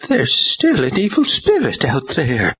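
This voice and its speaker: A man voicing an elderly praying woman who is fervent and stubborn, in a high strained put-on voice. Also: wildly swooping pitch, unhurried, pushed and bright, slightly rough, heavily acted. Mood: urgent. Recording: dull lo-fi recording.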